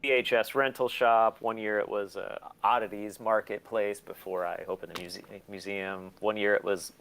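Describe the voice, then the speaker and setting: A man chatting casually as an interview guest, blunt, self-deprecating and quick to swear. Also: on the low side, fairly even intonation, creaky, fairly bright tone. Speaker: interview guest, museum and record shop owner. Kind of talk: casual chat.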